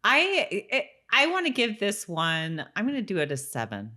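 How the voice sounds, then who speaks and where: A woman's voice: mid-range voice, huge pitch swings, normal speed, sharp tone. A woman co-hosting a podcast, chatting casually and playfully about a TV show. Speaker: podcast co-host.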